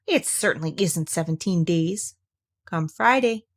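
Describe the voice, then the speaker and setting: A woman acts out a busy male rabbit from a children's story. He is bossy, practical and matter-of-fact, quick to correct. The intonation is lively. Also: lowish voice. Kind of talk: children's storybook read aloud.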